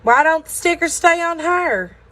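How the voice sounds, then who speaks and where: A woman's voice: very high voice, expressive, a little slow, bright, forceful tone, voice pushed up, heavily acted. A woman voicing a small, timid, self-doubting wooden puppet boy in a storybook, earnest and questioning. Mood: puzzled.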